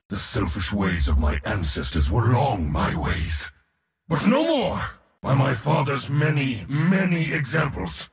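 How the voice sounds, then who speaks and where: Arrogant warrior god, game character, pompous, mocking, gravelly creak, slow deliberate delivery, reverberant. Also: male voice, fairly high pitch, full character voice. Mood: grave, resolute. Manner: pompous, theatrical, sardonic. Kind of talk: video game character voice lines.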